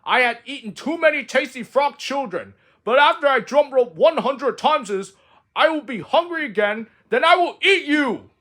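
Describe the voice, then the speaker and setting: A man's voice: very high voice, expressive, normal speed, pushed and bright, voice pushed up, exaggerated voice. A man doing a menacing old ghost frog. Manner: boastful, threatening.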